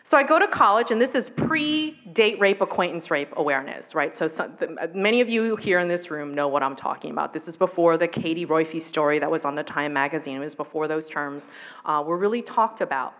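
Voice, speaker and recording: A woman's voice: sits low, animated. A woman from the US East Coast whose first language was Taiwanese, giving an earnest, reflective personal talk on violence prevention. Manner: reflective, earnest, with pauses and fillers. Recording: dull recording in an echoey hall.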